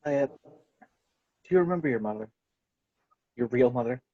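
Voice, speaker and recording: A man reading an earnest, calm, resolute young hero in a film script table read, on muffled video-call audio. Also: mid-range voice, measured pace, no echo. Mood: pensive.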